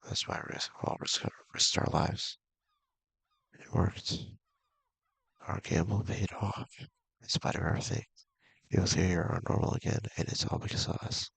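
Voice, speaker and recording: A man with a gravelly, husky voice casually reading a visual novel aloud, halting and mumbling with offhand asides. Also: deep voice, steady tone, fairly bright tone, dry recording, low-quality recording. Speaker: video game streamer.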